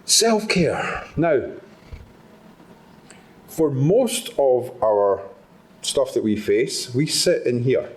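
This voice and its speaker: Scottish man giving an animated talk. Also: medium pitch, very slow, pauses often, low-quality recording. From Scotland. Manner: animated, asks the audience rhetorical questions. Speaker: speaker at a patient summit. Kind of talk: motivational talk to a live audience. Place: conference room with a live audience.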